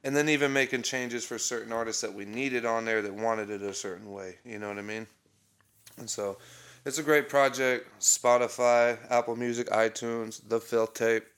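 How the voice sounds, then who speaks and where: A man's voice: medium pitch, steady tone, moderate pace, a bit bright, smooth. A man in his thirties in casual, profane podcast chat, hyping his album from a home studio. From Oregon. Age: 30s. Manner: loose, profane, hype talk. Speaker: rapper promoting his album.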